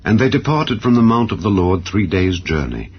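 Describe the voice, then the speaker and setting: A man reads scripture as a dramatized narration, with a solemn, measured delivery. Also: low-pitched, very animated. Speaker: Bible narrator. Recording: dull, band-limited audio with background music. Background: background music.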